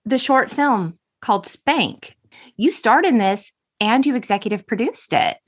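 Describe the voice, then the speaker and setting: A woman in her forties hosting a casual conversational interview, expressive and enthusiastic with dramatic emphasis. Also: on the high side, unhurried. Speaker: podcast host.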